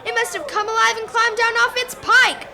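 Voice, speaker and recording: A woman's voice: very high-pitched, fairly even intonation, moderate pace, bright, forceful tone, exaggerated voice. A young female student playing a spooked, blustering villager in a radio play. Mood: alarmed. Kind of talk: school radio play acting.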